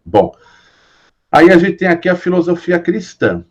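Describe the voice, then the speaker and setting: A man's voice: high-pitched, big pitch swings, normal speed. A Brazilian man teaching a philosophy class online, explaining patiently with many fillers and tag questions. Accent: Brazilian Portuguese.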